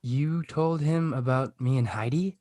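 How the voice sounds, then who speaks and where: An exasperated, defensive teenage boy, played by a man in a comedy table read. He speaks haltingly at a middle pitch, with a very dry, close sound. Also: warm tone, dry recording, lightly acted. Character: embarrassed, defensive, dumped teenage high school boy.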